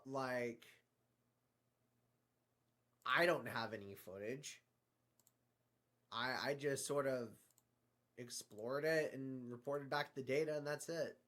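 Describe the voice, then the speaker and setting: A performer playing a hesitant, downcast explorer of alien universes, speaking haltingly in improvised dialogue and trailing off with stammers. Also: medium pitch, fairly bright tone. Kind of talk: improvised fictional dialogue between space explorers.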